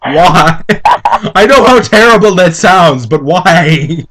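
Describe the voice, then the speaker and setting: A man's voice: sits high, lively intonation, takes it slowly, fairly bright tone. A man co-hosting a comedic dramatic reading, reading aloud theatrically and breaking off into incredulous, sweary commentary. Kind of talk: mocking read-aloud with casual commentary.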